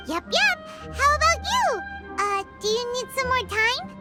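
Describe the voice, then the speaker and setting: Casual: a super high-pitched tiny floaty girl sidekick, bubbly, bouncing all over the place, game music going behind. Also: very animated, a little slow, exaggerated voice. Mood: excited. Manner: bubbly, refers to herself by name. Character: tiny floating girl companion, excitable and childish. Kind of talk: video game voice acting.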